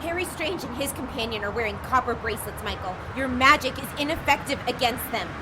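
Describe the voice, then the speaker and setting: A woman voices a haughty witch in an audio drama, talking in stiff, formal, disdainful sentences. Her voice sits a bit high. Traffic noise is clearly heard outdoors behind her. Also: lively intonation, fairly quick, close to an everyday voice. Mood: cold. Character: haughty female witch, cold and proud.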